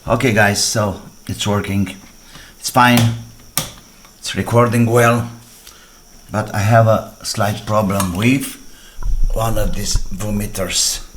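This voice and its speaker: A man with a fairly low voice and talks very slowly through a hands-on electronics repair. He speaks in short, halting phrases, thinking aloud as he works. There is faint noise in the background. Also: steady tone, fairly bright tone. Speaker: DIY electronics repair presenter. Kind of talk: hands-on repair demonstration, talking while working.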